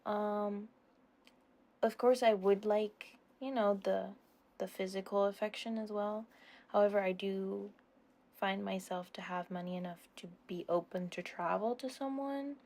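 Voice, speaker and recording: A young woman speaks at a middling pitch in a casual question-and-answer style. Her phrasing is informal and full of small qualifications. She tends to be self-deprecating as she explains herself. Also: steady tone, measured pace, a little mellow. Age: young adult. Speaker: ASMR roleplay creator answering subscriber questions. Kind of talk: casual Q&A chat.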